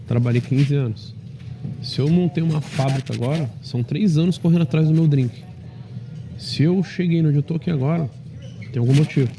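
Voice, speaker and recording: A man in his thirties speaks assertively in slangy casual talk, his gravelly voice a little high and dark. He is caught from a distance, with faint vehicle noise behind him. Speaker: businessman. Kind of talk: casual monologue to camera.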